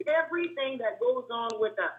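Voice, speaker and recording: A woman calling in to a talk show, speaking in a monotone, hard-edged voice, earnest and steady. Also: a little high, fairly quick, a little hiss or hum. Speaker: caller. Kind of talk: phone-in comment. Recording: tinny phone line.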